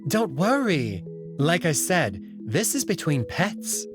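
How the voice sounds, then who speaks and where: Man voicing a courteous, animated young male servant, higher-pitched. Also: takes it slowly, music in the background. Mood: sly, reassuring. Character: polite, smiling young male alien pet servant, discreet and perceptive. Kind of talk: visual novel character read-through.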